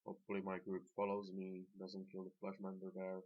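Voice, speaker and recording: A man with a Slovak accent giving halting, plain-spoken, unscripted commentary as he guides viewers through a video game. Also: sits low, monotone. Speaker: gamer presenting a dungeon tanking guide. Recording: dull lo-fi voice track.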